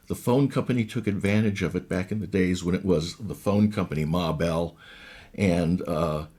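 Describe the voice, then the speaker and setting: A man in his sixties with a raspy voice, a guest in a casual interview who explains things at length and often restarts his sentences. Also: fairly low pitch, darkish tone. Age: 60s. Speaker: guest, ghost hunter and recording engineer.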